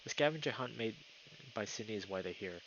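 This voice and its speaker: A man's voice: lowish voice, animated, a little fast, fairly bright tone, lo-fi sound, slight background noise. A man live streaming a game, chatting casually and reading the story text aloud, sometimes tripping over words. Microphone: streaming mic with noise filtering.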